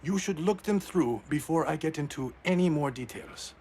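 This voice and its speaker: A composed, proud public man in a video game. His voice is a touch high, with a polite and assured delivery. Faint noise sits behind him. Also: expressive, normal speed, darkish tone. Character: composed, courteous male public figure proud of his homeland. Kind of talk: video game dialogue acting.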